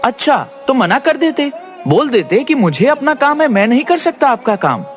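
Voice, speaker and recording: A man's voice: extremely high pitch, very animated, moderate pace. A man playing a cunning, brash young village trickster in a cartoon story, talking persuasively and pushily. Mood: earnest. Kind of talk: animated Hindi moral-story cartoon acting. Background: faint music.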